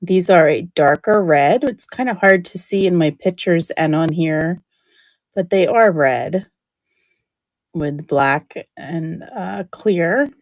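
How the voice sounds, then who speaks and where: Slow-talking woman who keeps clearing her throat. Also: lowish voice, no room sound. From New Jersey. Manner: chatty, describing items up close. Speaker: live auction seller. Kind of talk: live-stream auction chat.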